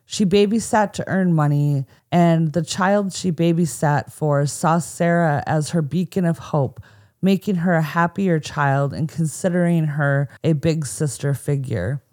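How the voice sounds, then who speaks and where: A woman's voice: sits low, measured pace, a little mellow. An American woman co-hosting a podcast, telling a true crime story from a script with casual asides. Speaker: podcast co-host.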